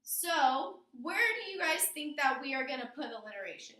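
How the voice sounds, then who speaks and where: A woman teaches a class in a high, bright voice, guiding it with prompting questions and poetry read aloud. The room around her echoes noticeably. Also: sing-song, moderate pace. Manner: instructing, asks prompting questions. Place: indoor classroom. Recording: roomy, echoing classroom sound.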